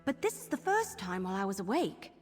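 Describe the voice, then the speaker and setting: A woman's voice: mid-range voice, very animated, fast talker, lightly acted. A woman playing an earnest, troubled young woman in a fantasy video game, confiding hesitantly. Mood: troubled, haunted. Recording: long hall reverb, game music behind.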